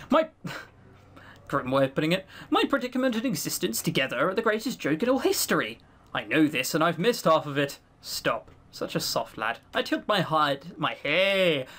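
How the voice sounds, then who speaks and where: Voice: mid-range voice, a bit bright. A voice actor playing an ancient fiend trapped in a circle for centuries, mocking and brooding, breaking into anguished outbursts, in a first-person audio roleplay.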